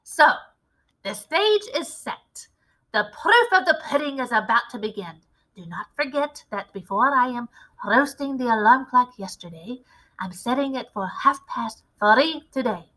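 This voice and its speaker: A woman voicing an imperious, gloating Grand High Witch with a theatrical, deliberate, commanding delivery in a storybook reading. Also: animated, a bit bright, rough recording. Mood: sly, scornful.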